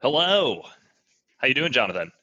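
A man's voice: medium pitch, sing-song, normal speed, bright, forceful tone, breathy edge, dull audio. A man chatting casually as a guest on a podcast. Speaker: podcast guest, corporate trainer. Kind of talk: casual chat.

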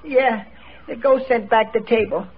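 A man's voice: very high-pitched, steady tone, measured pace, breathy edge, heavily acted. A man playing a bumbling, boyish husband with hesitant, eager delivery in 1940s radio comedy style. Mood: excitable, hapless. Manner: hesitant stammering, eager exclamations. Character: bumbling, boyish, excitable poor family man. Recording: muffled, noisy old radio broadcast.

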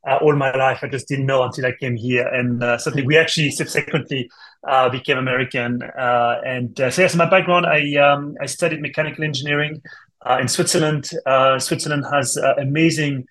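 A man's voice: mid-range voice, fairly even intonation, a bit bright, rough recording. French-accented male guest. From France. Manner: thoughtful, many "uh" pauses. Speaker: guest, company CEO. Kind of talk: casual interview answers.

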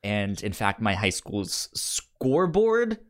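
A man co-hosting a podcast in casual conversation, speaking haltingly and often repeating or tripping over words. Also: fairly low pitch, big pitch swings. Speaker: podcast co-host. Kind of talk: casual chat.

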